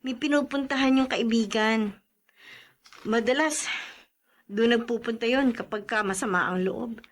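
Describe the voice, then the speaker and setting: An actress playing a patient, weary young wife in a radio drama. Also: female voice, fairly high pitch, a little slow, close to an everyday voice. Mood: weary, resigned.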